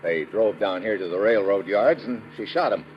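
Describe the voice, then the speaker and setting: An American man acting as a tough, brisk government agent questioning people in a 1950s radio detective drama. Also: on the low side, close to an everyday voice. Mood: eager, puzzled. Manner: brisk, hard-boiled, questioning. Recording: muffled, noisy old radio recording.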